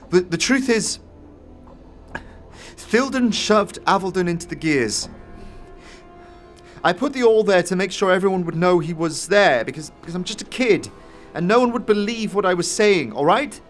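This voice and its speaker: A man's voice: sits high, lively intonation, faint music behind, voice pushed up. Man voicing a nervous, stammering young boy. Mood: pleading, insistent. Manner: stammering, halting.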